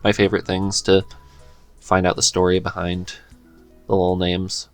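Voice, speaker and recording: A man with a very deep voice hosts a podcast, asking relaxed, curious questions. Faint music plays underneath. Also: moderate pace, dry recording. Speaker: podcast host. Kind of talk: casual interview chat.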